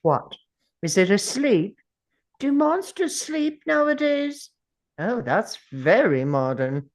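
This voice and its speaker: A woman's voice: mid-range voice, very animated, slow. A woman playing a wry, thoughtful, eccentric time traveller in a drama table read, musing aloud. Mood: puzzled musing.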